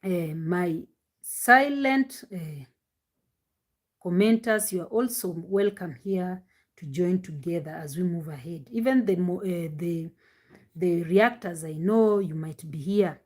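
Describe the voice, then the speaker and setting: Livestream host: a woman with a deep voice who pushes it loud and strained, chatting casually, no external mic. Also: slow pace. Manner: talks loudly and with strain. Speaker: live-stream reaction host. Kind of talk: casual live-stream chat with viewers. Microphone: no external microphone, device mic.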